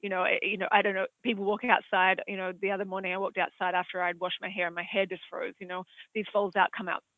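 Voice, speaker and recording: Australian male equine vet, high-pitched, hesitant and rambly, dry phone-line audio. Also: little pitch movement, fast talker, hardly any pauses, dry recording. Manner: rambling, hesitant, many fillers. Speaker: equine veterinarian. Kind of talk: expert answering audience questions.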